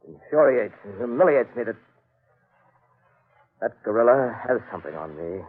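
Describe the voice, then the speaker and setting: An actor playing a proud, troubled hunter, earnest and brooding in a 1940s radio drama style. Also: male voice, mid-range voice, breathy edge. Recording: narrow, muffled old radio recording.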